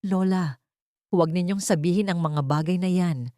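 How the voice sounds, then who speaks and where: A woman's voice: lowish voice, moderate pace, warm tone, dry recording, clean studio sound, natural voice. A woman voicing a bitter, suspicious wealthy man in an audiobook drama. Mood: confused, quiet. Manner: cold, bitter. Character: wounded, suspicious rich young man in wheelchair.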